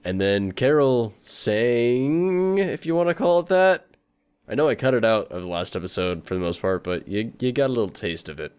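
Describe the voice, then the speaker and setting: A man's voice: mid-range voice, animated, moderate pace, darkish tone, clear, resonant tone. A man giving casual, rambling video game commentary in a wry, self-deprecating manner. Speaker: video game let's player.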